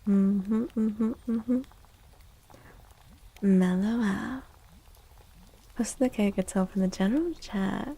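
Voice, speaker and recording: A woman livestream host chatting in a soft, gentle ASMR style close to the mic, drifting now and then toward a breathy whisper. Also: medium pitch. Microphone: close microphone.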